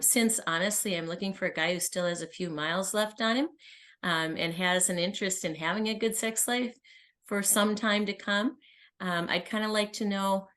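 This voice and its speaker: A woman's voice: on the low side, a bit bright. A woman in her early sixties, a coaching client talking candidly and chattily as a guest. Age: early 60s. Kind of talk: conversational personal account and question.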